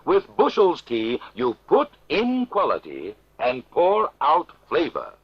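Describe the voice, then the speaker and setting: Male commercial announcer, emphatic and very animated, slightly rough voice, dull vintage radio recording. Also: on the high side, slow pace. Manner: emphatic sales delivery. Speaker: advertisement announcer.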